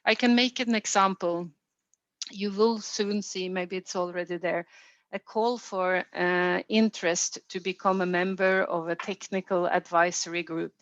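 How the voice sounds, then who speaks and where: A woman with a Norwegian accent gives unscripted formal remarks as an official at a meeting, choosing her phrasing deliberately and stressing key words. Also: on the low side, steady tone, a bit bright. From Norway. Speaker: health organisation official leading a consultation. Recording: roomy, dull band-limited meeting audio.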